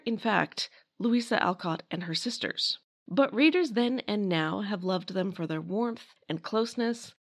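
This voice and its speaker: A woman narrating a scripted history talk in a conversational way, with wry asides. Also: mid-range voice. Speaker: podcast host and narrator.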